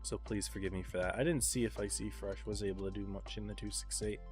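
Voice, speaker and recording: A man casting an esports match on a live stream, congested and tired, calling the play in a relaxed, subdued way. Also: fairly low pitch, quick pace, soft background music. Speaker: esports caster.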